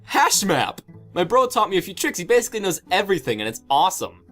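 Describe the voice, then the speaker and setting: A man playing a cool, cocky teenage know-it-all in a webcomic fan dub, casually boastful and deadpan. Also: high-pitched, fast talker, soft background music, full character voice. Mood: cool, teasing.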